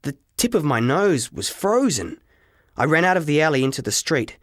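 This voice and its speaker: A man narrating a scripted first-person story as an audiobook, with dramatic, expressive delivery. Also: fairly high pitch, moderate pace, no echo, studio quality.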